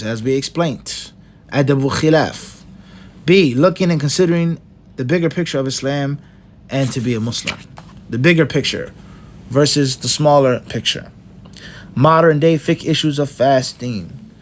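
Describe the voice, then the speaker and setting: A middle-aged man giving a lecture in a fairly high voice, stressing each point in a long list and firing off rhetorical questions. A faint low rumble sits under the recording. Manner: emphatic, lists points one by one with rhetorical questions. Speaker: Islamic teacher.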